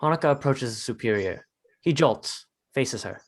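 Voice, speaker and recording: A man's voice: medium pitch, animated, normal speed. A man narrating a screenplay, reading out scene headings and stage directions as scripted narration.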